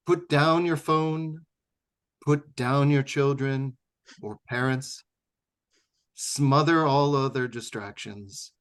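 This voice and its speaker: A man hosting a live radio show, giving a scripted introduction in a dramatic, deliberate old-time radio manner. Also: medium pitch. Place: remote live performance over video call.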